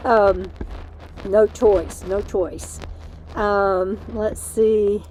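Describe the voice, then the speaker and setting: A woman's voice: mid-range voice, steady tone, drawn-out words, a little mellow, slight background noise, rough recording. A middle-aged woman, hosting a casual livestream from her RV, rambling and chatty, tired and losing her voice from lack of sleep. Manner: rambling, chatty, talks to her dog mid-stream. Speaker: livestream host. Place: inside an RV.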